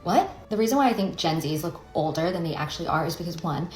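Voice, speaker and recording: A woman talks fast and casually in a fairly low voice. The room around her echoes, and faint music plays along. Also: lively intonation, a little mellow. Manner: quick, chatty opinions. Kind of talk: casual social media clip.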